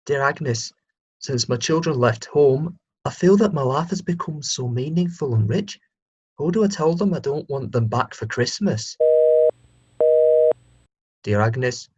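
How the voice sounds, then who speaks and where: An actor playing earnest letter writers to a radio agony aunt, reading with deliberate comic timing. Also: mid-range voice, background noise, natural voice. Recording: dull, muffled recording.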